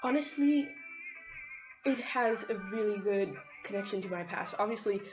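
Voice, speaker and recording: A woman's voice: medium pitch, moderate pace. Woman chatting over music, muffled audio. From Pakistan. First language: Urdu. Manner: informal, conversational, peppered with "like" and "you know". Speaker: interviewee. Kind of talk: casual interview answers. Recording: dull lo-fi audio, music behind.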